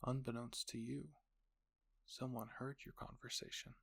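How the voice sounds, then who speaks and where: A man narrating scripted fan fiction as the host, with a gravelly, husky voice. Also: medium pitch, little pitch movement, a little slow, a bit bright.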